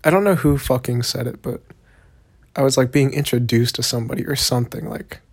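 A man's voice: medium pitch, a little fast, creaky, breathy edge. Rambling young Texan man, Southern drawl. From Texas, USA. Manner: rambling, laid-back, profane, heavy on fillers. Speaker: podcast host. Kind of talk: solo podcast monologue.

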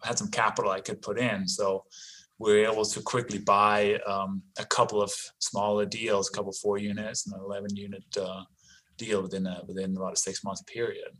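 A man's voice: on the low side, flat delivery, fairly quick, a bit bright. Danish-accented man in his forties. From Denmark. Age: 40s. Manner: conversational, frequent "you know" and "right?". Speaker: podcast guest, real estate investor. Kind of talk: casual interview talk.

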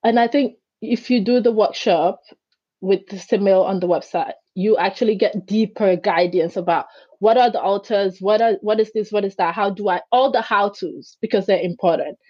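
A woman whose first language is Greek, a guest in an interview who tells stories in an animated way and acts out the voices she quotes. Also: medium pitch, normal speed, husky, no echo, muffled recording. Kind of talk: conversational interview.

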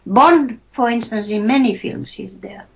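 A woman with a Finnish accent talks through a film in a relaxed, halting way, stopping to hunt for words. Her voice sits a little high. The recording is dull and lo-fi. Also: vocal fry. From Finland. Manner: hesitant, searches for words, laughs often. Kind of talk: casual film discussion.

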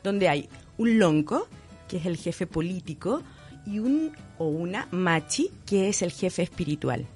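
A Chilean woman talking as a guest in a relaxed radio interview. She explains things eagerly and laughs now and then. Faint music plays under her voice. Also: fairly low pitch, unhurried. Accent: Chilean Spanish. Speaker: guest entrepreneur.

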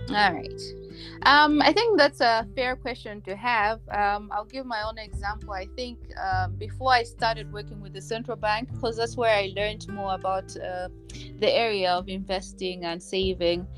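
A woman in her thirties from Malawi talks through financial ideas steadily, stopping for plenty of ums and uhs. Her pitch sits in a middle range, and faint guitar music plays underneath. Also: a bit bright. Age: 30s. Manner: measured, explanatory, with frequent fillers. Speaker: guest, finance executive. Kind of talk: podcast interview.

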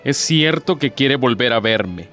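A man's voice: mid-range voice, animated, a little slow, a bit bright. Guy doing a bitter proud devil in a radio play, sarcastic n theatrical, bit of music behind. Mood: bitter, accusing. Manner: theatrical, rhetorical, sardonic.

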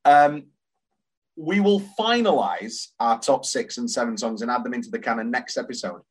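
A man in casual podcast talk, eager and quick to quote lyrics. His voice sits at an ordinary middle pitch for a man. He chats loosely, with restarts and laughter in his speech. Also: expressive, breathy edge. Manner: enthusiastic, quotes lyrics aloud. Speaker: podcast co-host. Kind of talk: casual chat about musicals.

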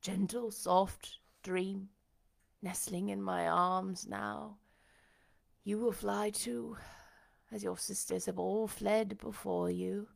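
Woman acting an anguished, imperious blind gentleman. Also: medium pitch, steady tone, slow pace, vocal fry. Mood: desolate, yearning. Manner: dramatic, demanding, emotional. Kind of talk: audiobook character acting.

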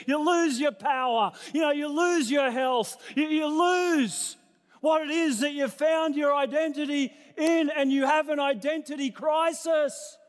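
An Australian man preaches in a strikingly high voice that rings with the long reverb of a hall. He sounds like a pastor talking plainly to his congregation, poking fun at himself and stacking repeated phrases to make his points. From New South Wales, Australia. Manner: conversational, self-deprecating, repeats phrases in lists. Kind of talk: sermon to a congregation.

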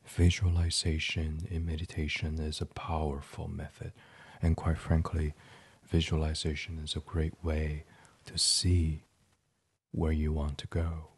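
A man leading a sleep meditation in an ASMR style, gentle and soothing, breathy and often half-whispered. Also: extremely low pitch, monotone, a little slow, dry recording. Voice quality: breathy, hushed. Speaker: guided meditation host.

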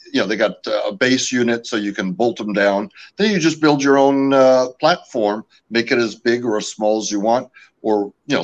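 A man's voice: on the low side, dull audio. A man from California, raised speaking French at home, talking about his company's products in a casual interview, with many fillers and restarts. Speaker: company representative.

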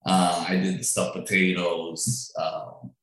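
Deep-voiced man in an echoey room. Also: takes it slowly. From Peru. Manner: relaxed, chatty, with frequent restarts and fillers. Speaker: food truck owner and chef. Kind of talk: interview answers.

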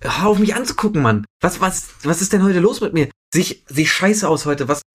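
A man's voice: fairly high pitch, expressive, brisk, slightly rough. Young Berlin man chatting in casual slang. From Berlin, Germany. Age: young adult. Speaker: podcast co-host. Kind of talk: casual chat, anecdotes.

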